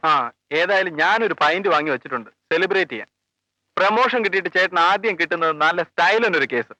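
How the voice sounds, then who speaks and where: A man's voice: sits high, fairly quick, sharp tone, no echo, low-quality recording. A man playing a teasing, joking friend of a police officer in a 1980s film drama. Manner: teasing, playful.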